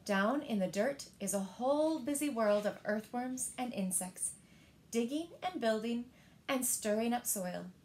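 Slow-paced, higher-voiced woman reading a storybook. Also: lively intonation, a little mellow, a little hiss or hum. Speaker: library storyteller. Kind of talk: reading a picture book aloud to children. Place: indoors, small living room by windows.

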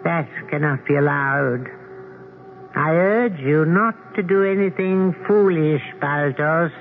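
An actor playing a lofty otherworldly authority, formal and admonishing, in a 1970s radio drama. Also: male voice, a little high, slow, narrow, tinny audio, background noise. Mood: stern, solemn. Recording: thin 1970s radio recording.

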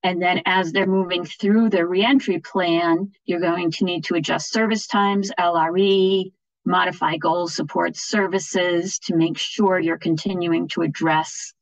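A woman's voice: lowish voice, no room sound, rough recording. A woman presenting an informal training webinar in a plain-spoken, explanatory way, often checking in with listeners. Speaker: training presenter for education officials. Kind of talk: informal webinar instruction with slides. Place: online video-call webinar.

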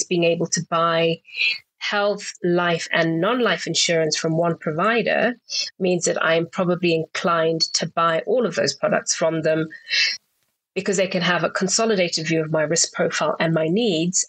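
A woman from Zimbabwe, an actuary and board member, giving measured, articulate answers as a podcast guest over a remote call. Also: fairly low pitch, no echo, low-quality recording.

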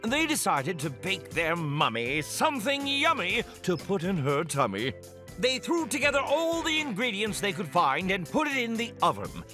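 A man playing an excitable, silly storybook narrator for a children's cartoon, with a playful, exaggerated, mock-dramatic delivery. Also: high-pitched, moderate pace, soft background music, exaggerated voice.

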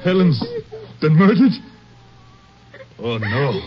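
An actor playing a rough, agitated and bewildered working man in an old radio drama. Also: fairly high pitch, very animated, slow pace, a crowd in the background. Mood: stunned, pleading. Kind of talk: 1950s radio drama acting. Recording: muffled old radio recording.

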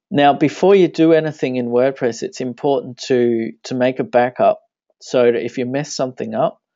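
A man, a native Danish speaker, walks through a website plugin in a relaxed screencast tutorial, thinking aloud as he clicks. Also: mid-range voice, gravelly, no room sound. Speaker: web tutorial instructor. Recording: dry, dull lo-fi screen recording.

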